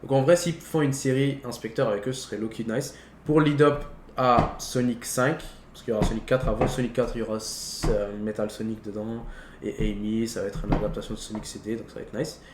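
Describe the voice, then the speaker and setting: A man's voice: medium pitch, steady tone, brisk. Young adult male, mid-range voice, casual heavy conversation, echoey room sound. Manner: slangy, peppered with English words. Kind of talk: casual chat about films. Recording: roomy echo, faint background noise.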